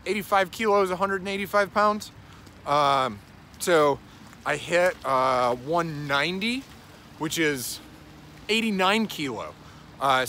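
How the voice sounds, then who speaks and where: A man's voice: sits high, sharp tone, a little hiss or hum. A man in his thirties with a runny nose rambles profanely through a casual walking vlog, filmed on a phone at arm's length on a suburban street at dusk. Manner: rambling, profane, many uh fillers. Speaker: gym owner vlogger. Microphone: phone camera held at arm's length.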